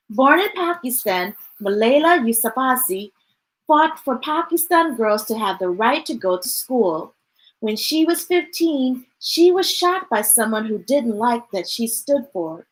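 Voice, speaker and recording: A woman's voice: on the high side, a bit bright, rough recording. A woman reading picture books aloud to children, breaking off for chatty asides to viewers. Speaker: children's storytime reader.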